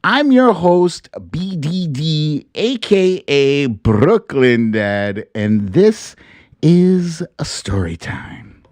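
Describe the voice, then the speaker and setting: A man's voice: a little high, big pitch swings, extremely slow, darkish tone. An American man in his fifties hosting a casual live online show, playful and jokey, drawing out words theatrically. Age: 50s. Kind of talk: casual livestream chat.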